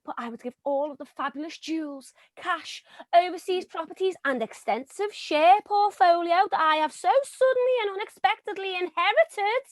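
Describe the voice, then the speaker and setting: Actress as a cheeky gold-digging widow, Liverpool accent, very high bouncy voice, dry close sound. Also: female voice, animated, normal speed, few pauses, dry recording. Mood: melodramatic. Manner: brash, cheeky, melodramatic. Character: brassy gold-digging young widow faking exotic roots. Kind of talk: stage comedy acting.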